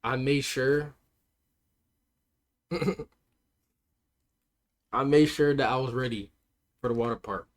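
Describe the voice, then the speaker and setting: A man livestreaming and chatting with his viewers in a laid-back, slangy way, his voice hoarse and cracking. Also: mid-range voice, slow pace, long pauses. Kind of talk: casual chat with stream chat.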